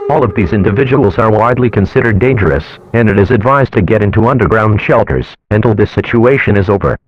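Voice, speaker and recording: A man's voice: on the low side, fast, no echo. A man reading a scripted emergency alert announcement in a formal, official manner. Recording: muffled lo-fi audio, loud noise.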